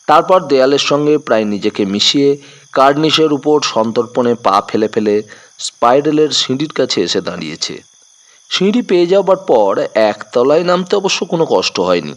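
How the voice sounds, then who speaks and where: Dramatic male suspense narrator, very animated pitch.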